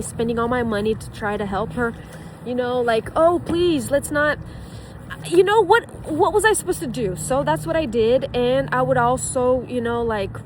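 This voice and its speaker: A woman's voice: a little high, a little hiss or hum, rough recording. A woman from Brazil with a Brazilian Portuguese accent, a former physician telling her story in a rambling, casual way full of fillers and restarts.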